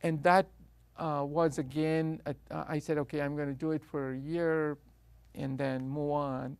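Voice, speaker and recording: A middle-aged man with a South Indian accent speaks in a flat, level tone with a gravelly edge, recorded close and dry. He tells his story as an interview guest, hesitant and full of restarts. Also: a little high, unhurried, dry recording. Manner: hesitant, many uh fillers and restarts.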